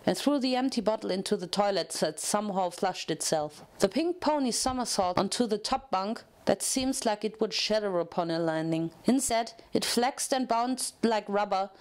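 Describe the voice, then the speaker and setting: A woman reading fiction aloud as a narrator, in an expressive, dramatic storytelling manner. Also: medium pitch, moderate pace, hardly any pauses.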